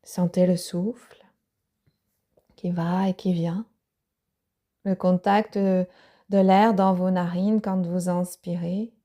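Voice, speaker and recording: A woman yoga teacher guiding a yoga nidra relaxation, speaking softly with breathy, half-whispered phrases. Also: fairly low pitch, level tone. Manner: slow, soft-spoken guidance with breathy, half-whispered phrases.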